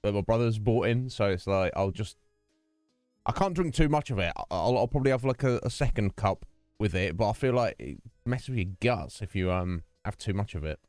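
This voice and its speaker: A man with a lowish voice chats loosely to his stream audience. He rambles and restarts sentences, close to a dry desk mic. Soft music sits faintly underneath. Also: expressive, fairly quick, no room sound. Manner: rambling and chatty, trailing off and restarting. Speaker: livestream host. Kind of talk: casual livestream chat to viewers. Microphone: desk microphone with noise gate.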